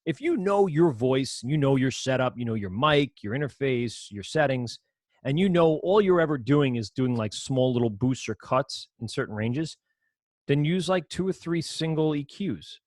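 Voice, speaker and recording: Casting: man, low voice, casual rambling teacher walking through software, dry close sound. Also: animated, normal speed, no echo. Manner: casual, rambling, self-correcting explanations. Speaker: audio production teacher. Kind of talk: screen-share software lesson.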